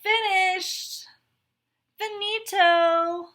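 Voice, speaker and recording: A woman's voice: very high-pitched, fairly even intonation, drawn-out words, bright, forceful tone, pitched above the performer's own voice, heavily acted. A woman voicing an eager, competitive male drinking straw from a storybook in a childlike, exclamatory way. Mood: boastful.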